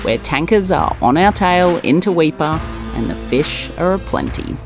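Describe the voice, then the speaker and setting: A woman's voice: deep voice, lively intonation, normal speed, music in the background. An Australian woman giving scripted narration for a sailing vlog.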